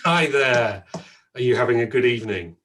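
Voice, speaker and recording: A man's voice: mid-range voice, lively intonation, normal speed, noisy background. A man hosting an online event, chatty and thankful, with frequent ums and restarts. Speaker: event host.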